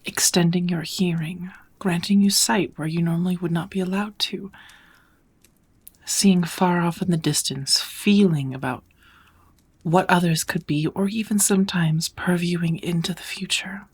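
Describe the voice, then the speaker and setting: A woman playing a kind, patient witch teacher in an ASMR roleplay, speaking gently in measured, encouraging phrases that often slip into whispers. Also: on the low side, steady tone. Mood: gentle, instructive. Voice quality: soft, breathy. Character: kind, patient witch mentoring a dear apprentice. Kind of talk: ASMR roleplay, second-person scripted teaching.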